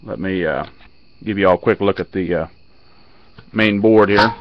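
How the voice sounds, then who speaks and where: A middle-aged man giving a casual hands-on demo as a radio hobbyist, recorded on a handheld camera at a workbench. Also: moderate pace. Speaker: amateur radio hobbyist demonstrating equipment. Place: cluttered indoor radio workbench. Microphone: handheld camera mic. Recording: muffled low-fi camera audio, loud radio static. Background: radio static and hiss.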